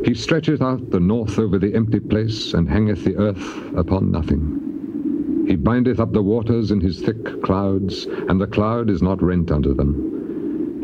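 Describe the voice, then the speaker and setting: Defiant suffering patriarch, grave declamation, very low voice, drawn-out endings, dramatized scripture, muffled hissy cassette. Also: male voice. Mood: awed, solemn. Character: suffering, defiant biblical patriarch Job, solemn and impassioned. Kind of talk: dramatized scripture reading, classical stage acting. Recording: noisy, muffled old cassette tape.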